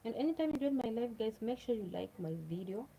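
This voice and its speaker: A woman's voice: on the high side, lively intonation, quick pace, vocal fry, mellow, rough recording. A Kenyan woman whose first language is Luo, chatting casually to viewers on a livestream, repeating herself and speaking to them directly. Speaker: livestream host.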